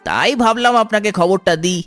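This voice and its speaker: A performer voicing a helpful but crafty, flattering eagle in a cartoon. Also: medium pitch, brisk, faint music behind. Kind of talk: cartoon dialogue.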